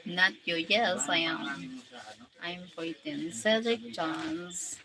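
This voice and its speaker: Slow-talking Filipino woman in her forties. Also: on the low side, vocal fry. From Philippines. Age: 40s. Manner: slow, unhurried greetings and thanks to viewers. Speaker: live streamer. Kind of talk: casual live chat, reading out viewer comments. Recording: loud background noise.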